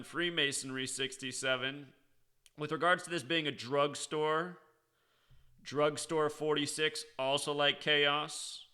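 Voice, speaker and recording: Bright, crisp-voiced American man commentating. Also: a little high. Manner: insistent, sarcastic, repeating key words for emphasis. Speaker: online commentator and video host. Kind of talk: unscripted explanatory commentary.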